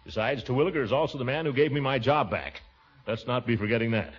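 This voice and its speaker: Man playing a blustering, husky, pompous uncle. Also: mid-range voice, quick pace, lightly acted. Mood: mildly huffy. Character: pompous, blustering middle-aged uncle and small-town water commissioner. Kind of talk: 1940s radio comedy acting. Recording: muffled vintage 1940s radio recording.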